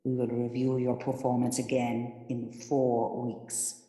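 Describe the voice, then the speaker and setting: Impersonal corporate voice played by a man, mid-pitched and mellow, officious, measured, heavy hall reverb, radio drama. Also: monotone, lightly acted. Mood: cold, stern. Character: cold, impersonal corporate authority issuing a warning. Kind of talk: formal scripted announcement in radio play. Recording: echoey hall with long reverb.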